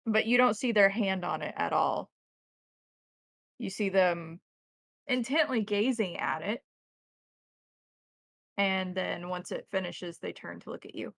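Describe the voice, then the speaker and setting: A woman game master narrating scenes descriptively and deliberately in a tabletop roleplaying game. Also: medium pitch, creaky, fairly bright tone, no room sound, clean studio sound.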